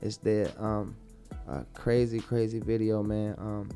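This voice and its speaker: A young man speaks in a laid-back, rambling way, often circling back on his own words. His voice has a gravelly, creaky edge. Faint music plays behind him. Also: fairly low pitch, level tone, a little slow, dark tone. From Baltimore, born in Dallas. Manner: laid-back, repeats himself a lot. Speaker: rapper guest. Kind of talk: casual interview talk.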